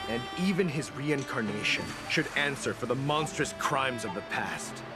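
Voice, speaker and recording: A man's voice: medium pitch, lively intonation, moderate pace, close to an everyday voice. A man voicing a cold, contemptuous zealot elder. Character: hostile, zealous adult male dragon-race elder. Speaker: game character. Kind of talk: video game cutscene dialogue. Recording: game audio with very loud music.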